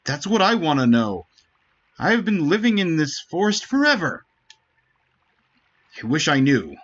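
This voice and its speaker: A man puts on an earnest young winged villager from a fantasy game. His delivery is pleading and emotional, with lively, highish pitch. The sound is slightly dull. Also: a little slow, full character voice. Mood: earnest, conflicted. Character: Guaraha, earnest young male Wingly, emotional. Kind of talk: dramatic read-aloud of game dialogue.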